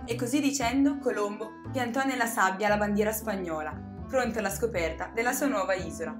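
A woman narrating a scripted children's story in an expressive, dramatic storytelling manner. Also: medium pitch, fairly quick. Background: music.

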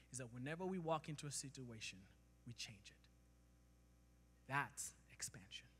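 A man in his thirties from Kenya, preaching a sermon on stage with a handheld mic, building to loud emphatic peaks. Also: on the high side. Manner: preaching with rising emphasis, gesturing while walking the stage. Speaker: pastor. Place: large church stage, indoors. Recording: long hall reverb.

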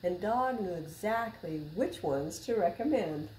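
A woman in her sixties reading a picture book aloud to children, expressive and deliberate, as a library storyteller. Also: mid-range voice. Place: small public library room, indoors. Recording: roomy echo, faint background noise.

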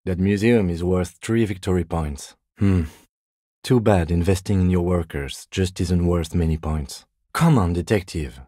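Middle-aged police lieutenant, video game dialogue, husky, measured, understated, dry wit, deep voice, close dry sound. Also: male voice, warm tone, no echo. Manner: measured, understated, dryly wry.

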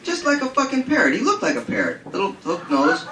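A man's voice: high voice, fast, pitched above the performer's own voice, full character voice. A man voicing a brash, foul-mouthed racetrack gambler who spins a streetwise, colloquial yarn in a live reading. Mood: excited, amused. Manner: streetwise, colloquial, excitable storytelling. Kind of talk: acted character monologue in a live reading. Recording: roomy, distant mic, faint noise.

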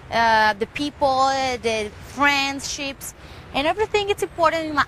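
A woman's voice: sits high, fairly bright tone, slight background noise, poor audio. A young woman from Medellín, a singer with a Colombian Spanish accent, talking earnestly in English as her second language and searching for words, interviewed outdoors in a garden. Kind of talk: casual interview.

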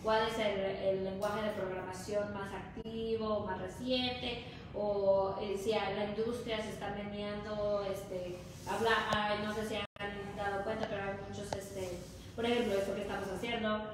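Female interview guest, Mexican Spanish accent, conversational explainer, mid-pitched, very roomy sound. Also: level tone, unhurried, recorded from a distance. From Hidalgo, Mexico. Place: indoor lounge nook with brick wall and concrete floor. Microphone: distant camera or phone. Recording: echoey, noisy room, off-mic.

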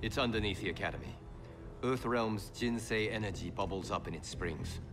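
An actor playing an earnest, dutiful young martial-arts monk, solemn and formal, in a video game. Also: male voice, lowish voice, moderate pace, music in the background, natural voice. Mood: urgent.

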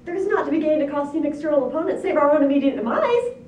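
A panicky little storybook pig, acted out by a woman, squealing very high. The speech is fussy, pompous and full of archaic flourishes, heard in a roomy, echoing space. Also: lively intonation, racing, darkish tone. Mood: panicked. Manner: florid, archaic, over-formal wording. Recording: roomy echo, distant mic, faint noise.

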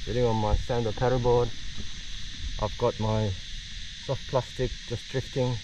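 A man's voice: medium pitch, level tone, unhurried. A man hosting a casual solo fishing vlog, talking outdoors on the water from a board-mounted camera. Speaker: fishing vlogger, host. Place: outdoors on a paddleboard on open water. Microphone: action camera mounted on the board, at a distance. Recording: distant mic, loud outdoor background noise.

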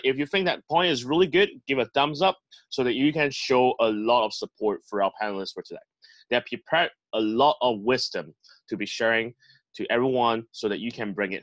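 A man's voice: mid-range voice, fairly bright tone, no room sound, highs cut off. A man hosting an online club meeting over a video call, introducing panelists in an upbeat emcee manner. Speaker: host.

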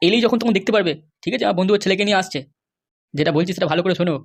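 Man doing a touchy, very fast-talking cartoon politician. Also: sits high, gravelly. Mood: scheming. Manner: defensive, blustering. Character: touchy middle-aged village politician, scheming father. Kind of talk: comic cartoon dubbing.

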